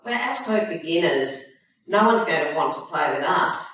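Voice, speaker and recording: A woman's voice: lowish voice, expressive, normal speed, fairly bright tone, distant mic. An earnest, sporty young boy, read by a woman from a storybook. He speaks plainly, heard slightly off mic in a very reverberant room over faint noise. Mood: doubtful. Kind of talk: storybook character dialogue.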